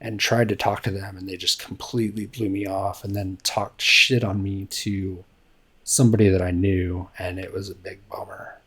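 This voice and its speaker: A man with a fairly low voice co-hosts a casual podcast. He tells his stories slowly and haltingly, leaning on fillers like um and you know. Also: little pitch movement, fairly bright tone, breathy edge. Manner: slow, halting storytelling with many fillers. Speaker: podcast co-host. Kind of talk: casual chat.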